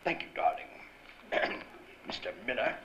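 An actor playing a haughty, jealous Hollywood husband in a radio drama, pompous and theatrical. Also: male voice, slow, natural voice. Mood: indignant. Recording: dull noisy live-stage recording.

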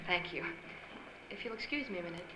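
A seductive, helpless-sounding dame from a 1940s radio drama, acted by a woman. Her voice is bright and very lively in pitch, coy and pleading. It comes from a roomy live stage with faint noise. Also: brisk. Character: sultry femme fatale playing frightened and helpless, seductive.

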